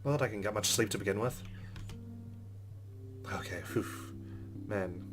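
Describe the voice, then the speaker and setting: A man's voice: on the low side, moderate pace. A man hosting a let's play, reading game narration aloud and adding casual commentary.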